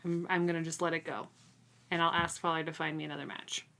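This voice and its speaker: A woman with a slightly low voice co-hosts a book read-along podcast. She talks casually and informally, recapping the story with playful little asides and plenty of "like". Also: little pitch movement, fast, fairly bright tone. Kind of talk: casual chat, recapping a novel.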